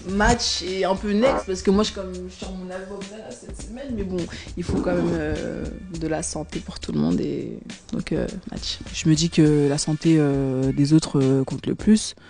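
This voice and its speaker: A woman's voice: fairly low pitch, moderate pace, music in the background. A woman singer answering interview questions casually, with slang, frequent giggles and many hesitations.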